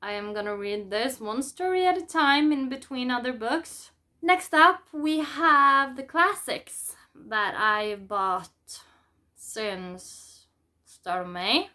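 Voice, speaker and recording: A woman's voice: sits high, animated, slow pace, pushed and bright. A woman from Norway with a Norwegian accent, a native Norwegian speaker, chatting casually as she presents books as a vlogger. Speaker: book vlogger presenting a haul. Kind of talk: casual chat to camera.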